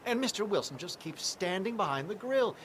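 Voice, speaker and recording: A man's voice: high-pitched, big pitch swings, brisk, fairly bright tone, slight background noise. A man playing an easygoing, food-obsessed suburban husband in a video game, chatty and mildly whiny in casual dialogue. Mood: hungry, mildly puzzled.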